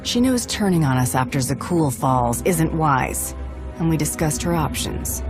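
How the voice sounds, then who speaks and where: A woman's voice: low-pitched, animated, unhurried. A woman playing a pragmatic, tough bounty hunter commander in a video game, matter-of-fact and assertive. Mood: firm, pragmatic. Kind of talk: scripted video game acting. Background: background music.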